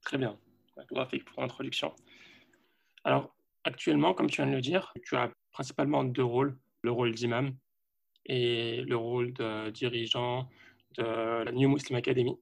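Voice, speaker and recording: Podcast host guy, super gravelly fry, kinda husky, friendly questions. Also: mid-range voice, steady tone, normal speed. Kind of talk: interviewing a guest.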